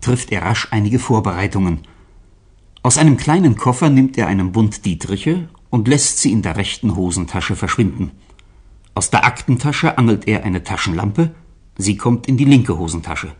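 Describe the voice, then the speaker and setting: Male radio-play narrator: deep-leaning, rough-edged voice, expressive scripted storytelling.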